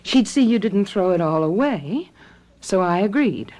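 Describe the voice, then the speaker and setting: An actress playing a breezy, trusting wealthy wife, affectionate and airy, in a radio drama. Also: female voice, mid-range voice, animated, a little slow, darkish tone, natural voice. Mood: brisk, chatty.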